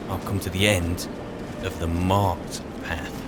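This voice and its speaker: A man's voice: sits low, level tone, takes it slowly. A man playing a weary, grumbling wandering mage, musing and muttering drily in an in-character video game roleplay. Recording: faint game ambience noise.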